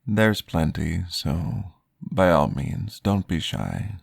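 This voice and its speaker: Man playing a very deep, gravelly vampire. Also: sing-song, dry recording, studio quality, full character voice. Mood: calm, courteous. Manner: formal, polite, measured. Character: courteous, formal male vampire guiding a therapy session. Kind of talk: ASMR roleplay.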